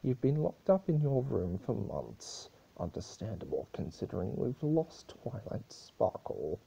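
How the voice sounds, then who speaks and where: A man's voice: fairly low pitch, sing-song, unhurried, gravelly, mellow, slightly rough, exaggerated voice, low-quality recording. A man voicing a mischievous, theatrical spirit of chaos with a mocking, melodramatic delivery, in a story reading. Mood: blunt.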